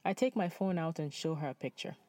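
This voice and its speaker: A young man from Nepal telling a personal story in spoken-word style, measured and reflective. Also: a little high, warm tone, no room sound.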